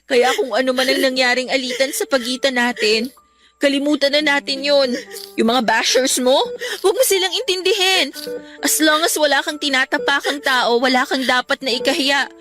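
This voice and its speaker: A devoted, forgiving teenage girl in a Filipino radio drama. Her voice is high and earnest, pleading with feeling, while music plays softly behind her. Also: normal speed. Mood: gently encouraging. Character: caring, forgiving teenage best friend, young woman. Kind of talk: Tagalog radio drama acting. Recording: music bed under the voice.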